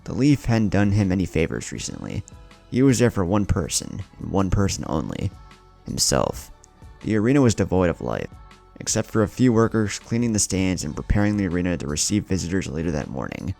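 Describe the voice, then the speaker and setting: A male narrator reads scripted adventure fiction in a dramatic manner. His voice is low and gravelly, with lively movement in pitch as he tells the story. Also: brisk. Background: music bed.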